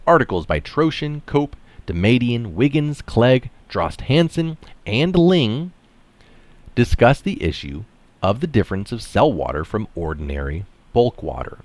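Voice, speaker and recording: A man's voice: medium pitch, big pitch swings, unhurried, no echo. A man reading an article aloud, stressing phrases and adding casual asides of his own. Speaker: article reader and commentator.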